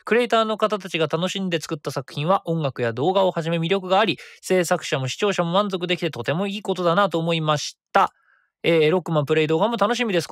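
A man's voice: fairly high pitch, a bit bright, no echo, studio quality. A man hosting his own web radio show, chatting and reading listener comments in a rambling way with many fillers.

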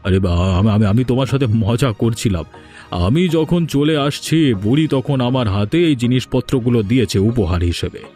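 A man's voice: medium pitch, expressive, brisk, dark tone, faint music behind, lightly acted. A man playing a sly elderly thief in a cartoon, stammering, evasive and pleading. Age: older. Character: sly elderly male thief posing as a guest, whining and pleading. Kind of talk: cartoon voice acting.

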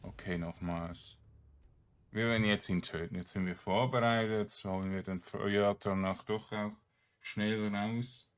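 A man's voice: lowish voice, steady tone, measured pace. A man giving casual let's-play commentary, grumbling in exasperation and muttering to himself as he plays. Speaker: video game streamer.

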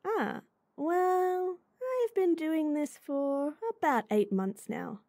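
A woman voicing a sweet, bubbly young rental girlfriend in an intimate roleplay audio, giggly, chatty and gently reassuring. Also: high voice, expressive, slow, no echo, clean studio sound, heavily acted. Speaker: voice actor.

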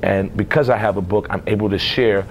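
An American man in his forties talks in a fairly low voice, like a coach being interviewed. His delivery is emphatic, with repeated phrases for stress, over a faint low rumble. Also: fairly even intonation, a little fast, poor audio. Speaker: assistant basketball coach. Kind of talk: on-camera interview about his career. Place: indoor athletics office, seated before camera.

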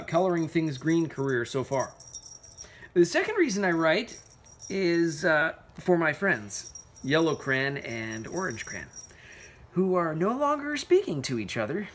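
A contented storybook crayon read by a man, courteous and measured. It is a polite peacemaker with an everyday speaking voice. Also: a little high, a little hiss or hum, voice pushed up. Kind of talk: children's picture book read-aloud.

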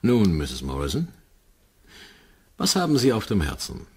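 A smug military commander from a science fiction radio drama. His voice sits fairly low and swings widely in melody. He talks down to people with dry, mocking authority. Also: wildly swooping pitch, unhurried, a little mellow, a little hiss or hum. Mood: smug, dismissive. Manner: condescending, mocking. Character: smug, sardonic male military station commander. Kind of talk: German radio play acting.

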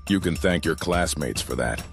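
A man acts out a huge, imposing principal from a visual novel game. The voice is extremely deep, hearty and authoritative, with faint music underneath. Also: lively intonation, normal speed. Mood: genial, commanding. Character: giant, imposing male high school principal, jovially authoritative. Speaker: voice actor. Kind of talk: visual novel fan voice acting.

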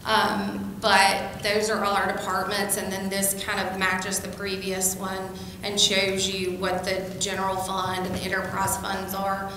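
Lady city clerk reading votes and budget notes, pretty flat delivery, big echoey chamber. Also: mid-range voice, a little slow, hardly any pauses. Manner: steady, procedural, with frequent ums. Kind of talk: meeting procedure and budget presentation. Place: council chamber. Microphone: desk mic. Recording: echoey chamber with long reverb, room noise.

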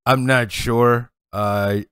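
A man in his forties with a Boston accent, hosting a casual reaction video, laid-back and laughing a lot, recorded on a headset boom mic at home. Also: steady tone, drawn-out words, a bit bright, clear, resonant tone, dry recording, studio quality. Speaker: reaction channel host. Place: home streaming setup, indoors.

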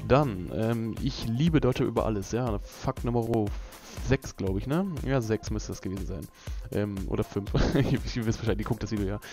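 Young male gaming YouTuber, relaxed and rambling, slightly low voice over a music bed. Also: moderate pace, few pauses. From Berlin, Germany. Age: young adult. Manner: rambling, laid-back, lots of fillers and laughs. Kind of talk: casual gameplay commentary vlog. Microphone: voice-over over gameplay.

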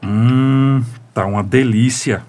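A man playing a gentle, wistful romantic in a radio soap opera. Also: medium pitch, takes it slowly, a little hiss or hum, close to an everyday voice. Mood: tender. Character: gentle, wistful, lovelorn man being courted.

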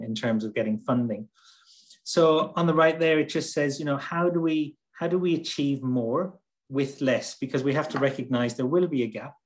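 A man giving a conference presentation over a video call, speaking conversationally with many fillers and restarts. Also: medium pitch. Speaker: presenter from a humanitarian logistics nonprofit. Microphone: computer mic on a video call.

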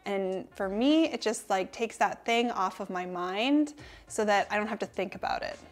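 A woman's voice: mid-range voice, moderate pace. A woman in her thirties hosting a cooking channel, talking through kitchen tips to camera in an upbeat, friendly way from her home kitchen.

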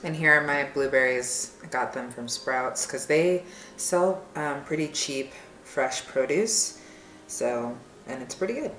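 A woman's voice: rumbling low, unhurried, background noise. A young woman giving a casual, informal cooking demonstration, talking through each step as she works. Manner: informal, narrating each step while working. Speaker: vlogger demonstrating a recipe. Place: home kitchen, indoors. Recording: echoey room with clear kitchen clatter.

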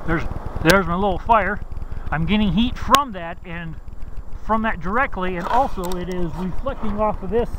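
Higher-voiced man vlogging in snowy woods. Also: heavy background noise. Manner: halting, repeats words often. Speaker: outdoors vlogger. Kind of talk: casual bushcraft walkthrough. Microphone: handheld camera at arm's length.

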